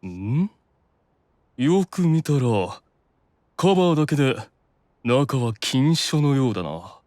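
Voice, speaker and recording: A man voicing a stern but caring elder-brother demon in an anime audio drama, measured, authoritative and sometimes exasperated. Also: mid-range voice, big pitch swings, a little mellow, no echo, studio quality. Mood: analytical, irritated.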